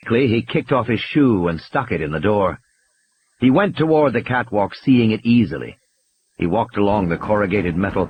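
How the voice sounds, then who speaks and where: A man's voice: fairly low pitch, dull audio, slight background noise. A man reading dramatic, scripted audiobook narration as the narrator of a story. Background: music bed.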